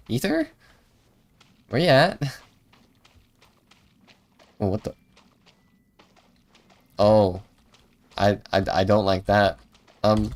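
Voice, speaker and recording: A man reacting casually to a game on a livestream, muttering playfully and hesitantly. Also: mid-range voice. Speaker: game streamer. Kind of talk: casual live gameplay reaction. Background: game audio with music.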